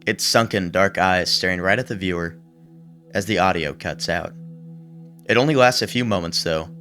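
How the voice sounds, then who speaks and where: Guy w a deep voice doing a horror video essay, measured storytelling, bit casual, music faintly behind him. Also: fairly bright tone. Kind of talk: scripted horror commentary with casual asides. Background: background music under the voice-over.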